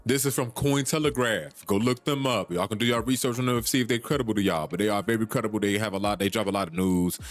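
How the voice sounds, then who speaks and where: A man's voice: fairly low pitch, fast talker, hardly any pauses, no echo, soft background music. A man hosts a solo podcast, talking in an urgent, preachy way that repeats points and swears casually. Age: 30s. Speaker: podcast host.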